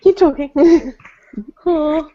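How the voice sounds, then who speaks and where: A woman's voice: sits high, drawn-out words, a little hiss or hum. A woman with an Australian accent hosting a casual online chat, talking in a chatty, giggly way and loose, hedged sentences. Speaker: host of an online book discussion. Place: live online video call. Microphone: computer call, wearing headphones. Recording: dull, lo-fi internet call sound.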